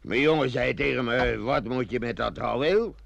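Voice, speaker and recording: A creaky-voiced scheming treasure digger. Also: male voice, mid-range voice, normal speed. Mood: confiding. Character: scheming, blunt, hard-hearted male treasure digger. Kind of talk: old Dutch radio play acting. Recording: noisy old radio recording.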